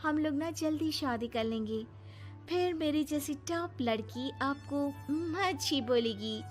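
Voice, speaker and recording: A woman's voice: sits high, takes it slowly, faint music behind, pitched above the performer's own voice. A woman voicing a spoiled, haughty young city bride in a Hindi story cartoon, bossy and affected, mixing in English. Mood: gushing, bossy. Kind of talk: exaggerated Hindi moral-story cartoon acting.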